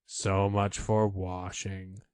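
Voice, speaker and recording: A man acts out a gloomy old donkey from a children's storybook. The voice is deep and very slow, close and dry. He grumbles with long-suffering, deadpan resignation. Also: smooth, no room sound. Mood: grumbling.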